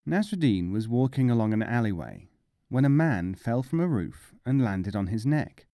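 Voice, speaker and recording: Mellow, expressive male storyteller. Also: medium pitch, moderate pace, dry recording, clean studio sound. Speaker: audiobook narrator. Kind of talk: scripted storybook narration of humorous folk tales.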